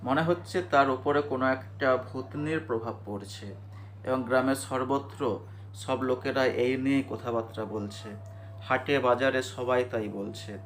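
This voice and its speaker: A man's voice: mid-range voice, fairly even intonation, measured pace. A man narrating a scripted cartoon ghost story in a storyteller's style. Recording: clear background noise and ambience.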